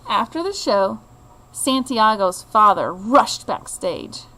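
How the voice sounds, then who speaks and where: A woman reading a children's picture book aloud in an expressive, deliberate way, like a teacher to a child. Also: mid-range voice, a little hiss or hum, poor audio. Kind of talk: children's storybook read-aloud.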